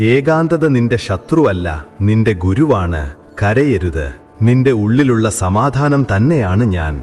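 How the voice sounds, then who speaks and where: A man voicing a serene divine teacher who gently consoles a grieving devotee in a slow, soothing devotional monologue. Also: mid-range voice. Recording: long hall reverb with soft music.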